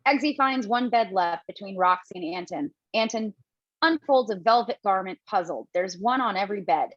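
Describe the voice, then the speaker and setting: A woman's voice: mid-range voice, lively intonation, moderate pace, no room sound, highs cut off. Woman reading stage directions. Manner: reads directions plainly, with casual asides. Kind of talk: table read narration.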